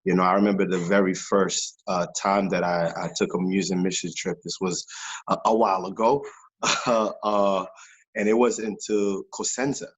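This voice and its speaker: A man from Haiti, a pastor and music missionary, talking in an animated interview with many fillers and repeated phrases. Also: low voice, moderate pace, low-quality recording. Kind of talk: casual interview testimony. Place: New York based, remote interview.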